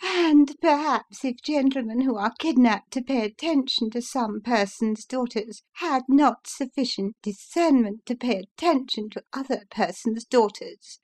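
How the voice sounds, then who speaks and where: A woman's voice: fairly high pitch, unhurried, hardly any pauses, darkish tone, voice pushed up. Woman doing a snide society lady. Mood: spiteful. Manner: snide, cutting. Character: spiteful, sharp-tongued older society lady.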